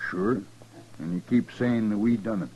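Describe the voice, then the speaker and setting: Guy playing a hot-headed old west country man, american, folksy and stubborn, lowish voice, dull 50s radio sound. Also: moderate pace, warm tone, lightly acted. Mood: accusing. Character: stubborn, vengeful rural western man, suspicious and hot-headed. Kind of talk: 1950s radio western acting.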